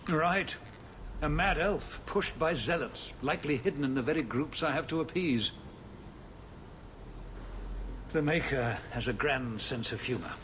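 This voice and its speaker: An actor playing a weary, civil nobleman who rules a troubled city in a video game, measured, formal and dryly ironic. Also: on the high side, background noise, lightly acted. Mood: weary irony.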